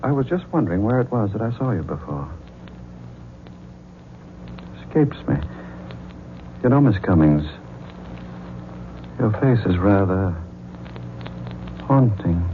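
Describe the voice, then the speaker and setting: An actor playing a guilt-ridden, middle-aged married man with an American accent, speaking in a measured, confiding 1940s radio style. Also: lowish voice, very animated, a little hiss or hum. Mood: suave, confiding. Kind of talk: 1940s radio mystery acting, first-person narration and dialogue. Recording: muffled vintage radio broadcast.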